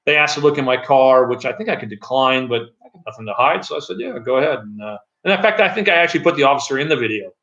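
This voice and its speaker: Loud-voiced man rambling on a live stream. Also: medium pitch, lively intonation, fast, hardly any pauses, dull audio. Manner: rambling, loose, lots of fillers and restarts. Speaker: channel host. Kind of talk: casual live-stream chat. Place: echoey room with hardwood floors at home.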